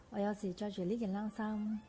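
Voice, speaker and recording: An actress playing a wistful, grieving counselling client in a conversational Cantonese radio drama, with a gentle manner and a Hong Kong accent. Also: female voice, mid-range voice, a little hiss or hum, close to an everyday voice. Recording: clear music behind the voice.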